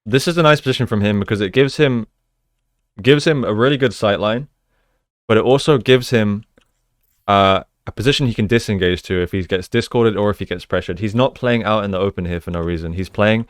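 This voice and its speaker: A man's voice: on the low side, a little fast, no echo, clean studio sound. A man giving casual, explanatory gameplay commentary as an esports coach, using gamer slang. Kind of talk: live gameplay commentary and breakdown.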